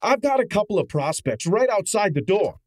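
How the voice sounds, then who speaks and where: An actor playing a cheeky, exasperated detective's assistant in a radio drama, insistent and wisecracking. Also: male voice, sits high, very animated, a little fast, dry recording. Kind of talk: old-time radio drama acting.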